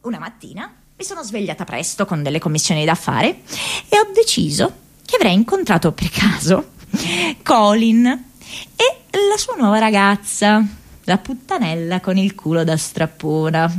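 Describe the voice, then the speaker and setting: A woman's voice: mid-range voice, very animated, moderate pace. An actress playing a restless, lovelorn and self-mocking young woman in a theatrical, emotional radio monologue. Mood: wry, excited. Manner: theatrical, emotional, with repeated phrases.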